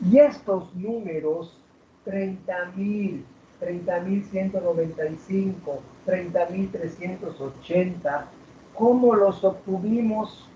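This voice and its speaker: A male teacher lectures a class from across an echoing classroom, his voice caught from a distance on a muffled recording. He keeps asking his students questions and stretches out the key words. His tone is mellow and slightly high for a man. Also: takes it slowly, slight background noise. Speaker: math teacher.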